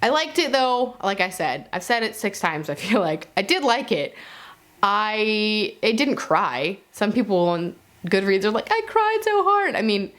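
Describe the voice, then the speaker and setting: Female book vlogger, mid-pitched and highly animated, casual and conversational delivery with playful asides. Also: unhurried. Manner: informal and conversational, self-correcting, with playful asides. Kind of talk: casual chatty monologue to camera.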